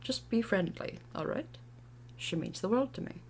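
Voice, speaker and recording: A woman puts on an enchanted tree spirit for a fantasy drama. It talks bluntly and informally in an attempted British accent. The pitch moves around a lot. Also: animated, moderate pace, darkish tone, slight background noise, natural voice. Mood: pleading.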